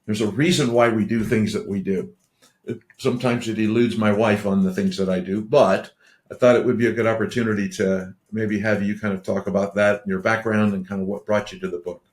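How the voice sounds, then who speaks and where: A man's voice: fairly low pitch, steady tone, a little fast. A man hosting an interview podcast, talking casually and rambling, often repeating himself and restarting sentences. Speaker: podcast host. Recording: roomy echo.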